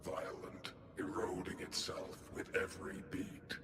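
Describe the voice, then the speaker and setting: A man's voice: sits low, monotone, measured pace. A man voicing a solemn, dutiful guardian mech in a video game, with formal robotic phrasing and clipped announcements. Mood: solemn, earnest. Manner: formal robotic phrasing, announces clipped one-word headers before statements. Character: solemn giant guardian mech, dutiful and slowly learning feeling. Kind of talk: video game character acting. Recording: cavernous echo with music underneath. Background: game music.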